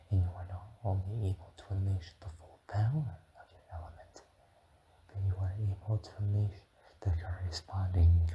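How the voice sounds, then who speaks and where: A man voicing a gentle, patient dragon mentor in a slow, whispered and soft-spoken ASMR guided meditation. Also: sits low, highs cut off, noisy background. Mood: calm, explaining. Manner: slow, soothing, drifting between whisper and murmur.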